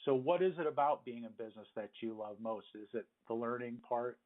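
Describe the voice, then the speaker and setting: A man's voice: medium pitch, brisk. A man conducting a conversational interview over the phone as the interviewer. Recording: muffled, narrow phone line.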